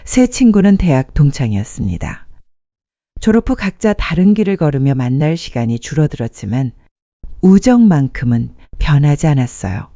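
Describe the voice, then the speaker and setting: A woman's voice: sits low, very animated, normal speed, warm tone, no echo, muffled recording. A woman narrating a sentimental story from a script, as a storyteller addressing listeners.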